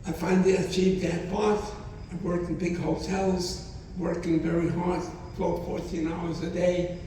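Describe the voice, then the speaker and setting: A man in his nineties, not a native English speaker, gives a halting testimony to students and often repeats his phrases. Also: sits high, level tone, dark tone, a little hiss or hum. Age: 90s. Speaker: Holocaust survivor speaker. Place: college hall. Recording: echoey hall from far off.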